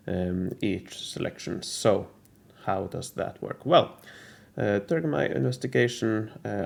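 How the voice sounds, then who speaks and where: Man with a rough cold-worn voice. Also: low voice, darkish tone. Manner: methodical, hesitant with frequent uh fillers. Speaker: strategy game guide creator. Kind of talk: casual explanatory walkthrough.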